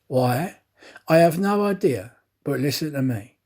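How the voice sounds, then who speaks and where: A man voicing a suspicious, self-assured detective in a storybook, probing and deliberate. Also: a little high, expressive, darkish tone. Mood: resolute.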